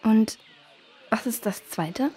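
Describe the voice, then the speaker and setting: A woman playing a timid, anxious young girl who speaks haltingly and tearfully in a children's audio drama. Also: fairly high pitch, mellow, breathy edge. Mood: unsure, hopeful. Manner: hesitant, halting, stammering.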